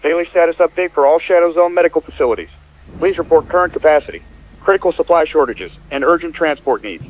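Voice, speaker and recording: A man acting as a brisk emergency medical coordinator on a radio drama broadcast. He rattles through formal, procedural phrases at speed. The transmission is dull and narrow, like a field radio. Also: a little high. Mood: businesslike. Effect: radio filter. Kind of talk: scripted radio dispatch in an audio drama. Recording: muffled, band-limited radio transmission.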